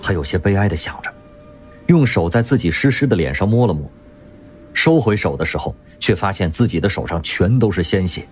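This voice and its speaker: A man narrating a radio drama in a vivid, expressive storytelling manner. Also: sits low, brisk, slightly rough. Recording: music underneath.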